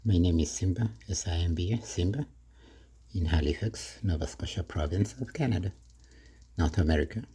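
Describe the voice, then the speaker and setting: A middle-aged man from Kenya, chatting slowly in his home kitchen while cooking, with many fillers and soft laughs. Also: deep voice, slightly rough. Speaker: vlogger. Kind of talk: casual cooking vlog monologue.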